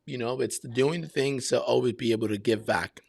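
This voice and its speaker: Gravelly-edged man chatting in a close, dry recording. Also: mid-range voice, normal speed, no echo. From Brazil and Miami, United States. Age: 50s. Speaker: podcast guest, military veteran and realtor. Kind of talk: casual conversational interview answers.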